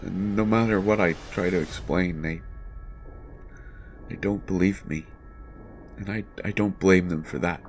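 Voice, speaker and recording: A male actor plays a haunted, distraught man confessing in an audio horror drama. His voice is very low and his delivery is slow, halting and full of stammered repeats. Faint music sits behind him. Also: fairly even intonation. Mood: anguished, resigned. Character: haunted, distraught male writer jailed for his son's death. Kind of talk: dramatic first-person horror monologue.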